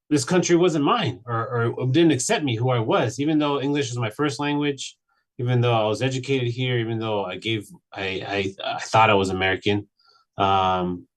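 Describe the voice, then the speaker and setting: A man in his late thirties, from Mexico, telling his personal story on a panel in an earnest, halting way, with many restarts. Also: medium pitch. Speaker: panel speaker. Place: virtual event.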